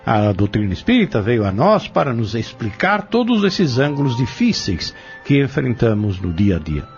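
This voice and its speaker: A man's voice: mid-range voice, wildly swooping pitch, normal speed. A man with a Brazilian accent giving a scripted moral reflection in a solemn, didactic way. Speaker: spiritist commentator. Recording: dull lo-fi radio recording with music.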